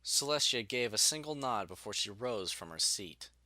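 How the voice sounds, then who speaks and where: Bright, expressive male story narrator. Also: fairly low pitch, moderate pace, dry recording, studio quality.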